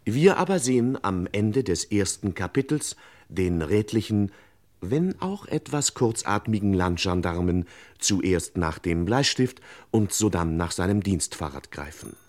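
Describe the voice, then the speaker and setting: The narrator of a vintage radio crime serial. His very low voice delivers ornate, scripted sentences with wry, mock-solemn gravity. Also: sing-song, measured pace, darkish tone.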